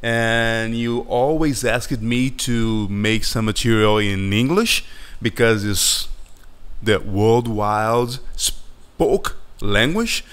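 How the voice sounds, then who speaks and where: Male animator host, Brazilian Portuguese accent, unhurried, smooth voice, friendly and halting. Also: mid-range voice, fairly bright tone. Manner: slow and halting, searching for words, friendly. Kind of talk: casual vlog chat over a screen recording.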